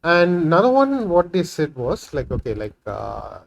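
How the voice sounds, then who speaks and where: A man's voice: fairly high pitch, huge pitch swings, normal speed, vocal fry, lo-fi sound. A man whose first language is Tamil, talking through a coding session in a casual screen-recorded tutorial, thinking aloud with frequent fillers and "right?" tags. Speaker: programming tutorial host.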